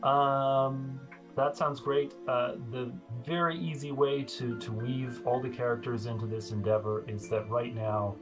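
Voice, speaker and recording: A man running a tabletop game as game master, chatty, laughing often and filling pauses with ums. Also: mid-range voice, fairly even intonation, music in the background, poor audio.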